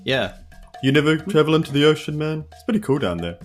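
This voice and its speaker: A man's voice: on the high side, expressive, fast, faint music behind, lightly acted. A man voicing a laid-back, curious adventurer in a tabletop game, casual and blunt.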